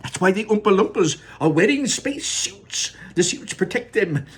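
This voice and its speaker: A giddy, eccentric chocolate maker, voiced by a man reading a storybook aloud. The voice squeaks far above his own, husky and raspy, leaping into loud excited cries. He is restless and bossy. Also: moderate pace, exaggerated voice. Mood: commanding. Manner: high, shrill and excitable, bursting into shouts. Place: room in his home without air conditioning.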